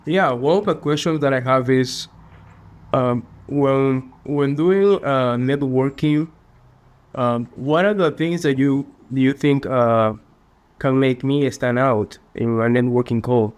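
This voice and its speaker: Young Peruvian man, smooth clear voice. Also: medium pitch. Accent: Peruvian Spanish. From Peru. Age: young adult. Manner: earnest, polite, slightly halting phrasing. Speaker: finance student guest. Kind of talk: interview answers.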